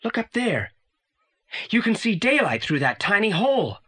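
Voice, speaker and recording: A man's voice: high voice, big pitch swings, normal speed, sharp tone, heavily acted. An actor playing a pompous, unflappable teenage boy detective in an audio drama, speaking in precise, formal, long-winded sentences. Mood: composed, determined.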